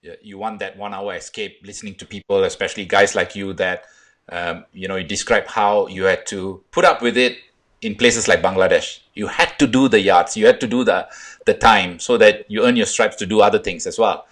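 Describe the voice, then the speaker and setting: A man's voice: on the low side, moderate pace, a bit bright. A man hosting a sports interview show, asking long, rambling questions in a chatty, joking way. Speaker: podcast host.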